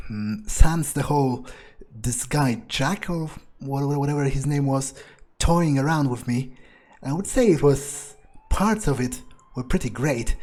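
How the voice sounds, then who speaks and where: Casting: man, husky mid-to-low voice, rambling in-character game player, musing aloud with crude asides. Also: darkish tone. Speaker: gaming video creator playing in character. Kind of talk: improvised in-character game commentary.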